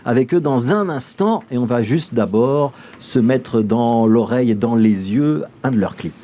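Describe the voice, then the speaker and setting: A man introduces guests on a TV studio set, sounding relaxed and friendly. His voice has a mellow, warm tone at an ordinary middle pitch. He fills his pauses with easygoing "euh" sounds. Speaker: TV news host.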